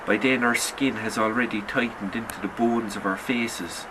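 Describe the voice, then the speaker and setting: Low-voiced man reading fiction over loud noise. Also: fairly even intonation, moderate pace. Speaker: author reading own short story. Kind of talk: literary audiobook narration.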